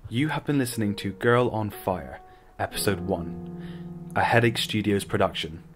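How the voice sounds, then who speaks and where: A man's voice: fairly low pitch, animated, a little slow, music in the background. A man announcing the credits of a radio drama in a scripted read.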